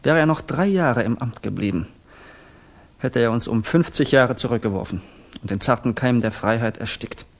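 A man acts out a young revolutionary student in a 1960s radio play. His voice is slightly husky and of middling pitch. He confides with fervour and speaks deliberately, like an orator who now and then hesitates. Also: lightly acted. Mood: earnest, confessional. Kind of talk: 1960s German radio drama acting.

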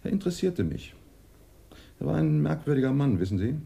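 An actor playing a melancholy male witness in a German radio crime drama, reflective and halting. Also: a little high, very animated, creaky, mellow, heavily acted. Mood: wistful, musing. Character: male neighbour of a dead man, melancholy police witness.

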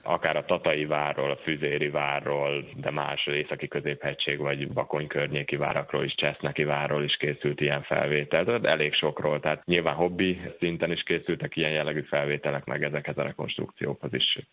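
A man's voice: a bass voice, little pitch movement, hardly any pauses, narrow, tinny audio. A man giving long, technical explanations as an expert guest in a radio interview. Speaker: aerial archaeologist, interview guest.

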